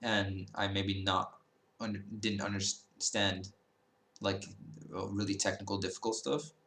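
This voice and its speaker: A man's voice: low voice, flat delivery, a little slow, a bit bright, echoey room. A man in his early twenties, talking casually to camera in a rambling way, full of fillers and self-corrections. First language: Dutch. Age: 20s. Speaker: language-learning YouTuber.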